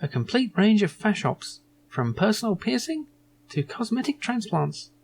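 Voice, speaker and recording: A man's voice: sits high, big pitch swings, normal speed, gravelly, slight background noise, pitched above the performer's own voice, full character voice. A man voicing a prim, haughty female hologram receptionist in a video game, with polite customer-service delivery. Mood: bright, welcoming.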